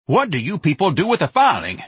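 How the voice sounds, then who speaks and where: Harried, short-tempered company owner in a storybook, husky and demanding, varied in pitch; a man acts him out. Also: huge pitch swings, fairly quick, fairly bright tone, no room sound, full character voice. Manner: curt, demanding. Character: exasperated, irritable male business owner.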